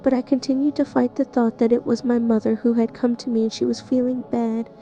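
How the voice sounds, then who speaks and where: A woman narrating a first-person horror story in a tense, even manner. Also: fairly high pitch, a little fast, dull audio, faint music behind. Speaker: narrator playing the listener's character.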